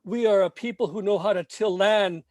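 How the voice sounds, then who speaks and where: A man from Mexico reads his poetry in a slow, emphatic chant that leans on repeated lines. His voice sits high for a man. The sound is dry and close, with no room around it. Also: a little mellow, dry recording. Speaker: poet. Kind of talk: poetry reading.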